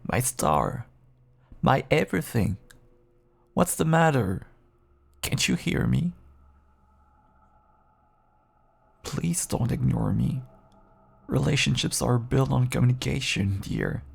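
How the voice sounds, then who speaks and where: Man acting a slow, gravelly, pleading caged vampire. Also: medium pitch, animated, full character voice. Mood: pleading, tender. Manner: clingy, pleading, swinging from hushed endearments to bitter outbursts. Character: caged feral male vampire pleading with an estranged partner. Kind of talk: dramatic intimate audio roleplay monologue.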